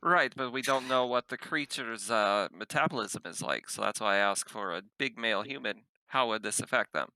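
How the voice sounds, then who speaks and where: A man's voice: fairly low pitch, moderate pace, hardly any pauses, bright, forceful tone, clean tone, no echo, low-quality recording. A man voicing a blunt, pushy female agent in a tabletop game, casual and insistent.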